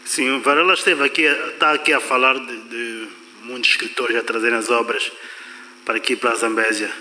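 A Mozambican man moderates a literary panel in a mid-range, very bright voice. He restates his questions as he puts them to the guests. The room is reverberant, with some noise behind him. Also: mid-range voice, fairly even intonation, brisk.

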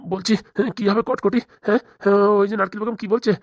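A woman's voice: mid-range voice, steady tone, quick pace, no echo, clean studio sound, natural voice. A woman voicing a timid, anxious cartoon man, a lawyer who pleads and frets in a rural Bengali accent. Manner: pleading, fretful, stammering. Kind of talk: comic cartoon dialogue.

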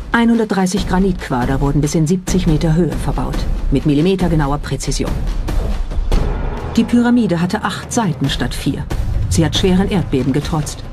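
Female documentary narrator over music. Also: deep voice. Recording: music bed under the voice. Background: background music.

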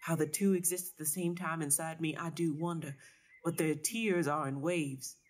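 A woman's voice: low voice, fairly even intonation, moderate pace. A woman reading first-person literary fiction as a dramatic narration. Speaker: story reader.

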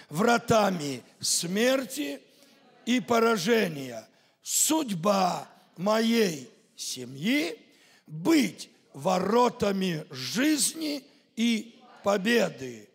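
Gravelly man preaching slowly in a hall. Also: high voice. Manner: slow, emphatic proclaiming, leading the crowd in chanted lines. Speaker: pastor. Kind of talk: sermon with congregational declarations. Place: large church hall. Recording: echoey church hall livestream.

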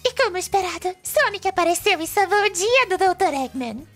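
A woman voicing a perky, sassy young female hedgehog guesting on a cartoon web show, bubbly and expressive, with a Brazilian accent. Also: very high voice, a little fast, soft background music.

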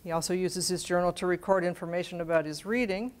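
A woman's voice: lowish voice, steady tone, fast talker. An American woman in her sixties, a scholar delivering a prepared lecture. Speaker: scholarly editor giving a lecture. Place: library event room, at a lectern. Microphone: lapel microphone.

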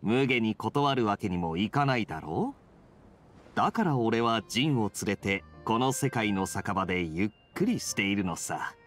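A man voicing a relaxed, playful young male knight in an anime game, speaking smoothly and casually. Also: fairly low pitch, normal speed, soft background music. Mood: suave, amused. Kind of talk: Japanese anime game voice acting.

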